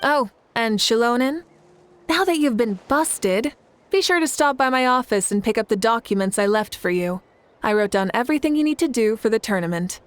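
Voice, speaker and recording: A woman's voice: on the high side, animated, moderate pace, natural voice. A woman voicing a confident, playful female leader who speaks with teasing, easy authority. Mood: calm. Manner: self-assured, teasing, warm authority. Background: game music.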